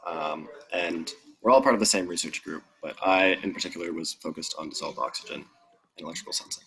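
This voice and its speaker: A man's voice: low-pitched, brisk, vocal fry, a bit bright, low-quality recording. A young man, a student panelist, introducing himself briefly and plainly. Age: young adult.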